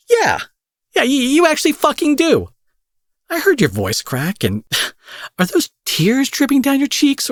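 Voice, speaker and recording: A man acting out a cocky, foul-mouthed young supervillain in an audio roleplay, husky, mocking and possessive, taunting his captive with drawled pet names. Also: a little high, no echo, studio quality, full character voice. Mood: incredulous, intense. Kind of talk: dramatic roleplay monologue addressed to the listener.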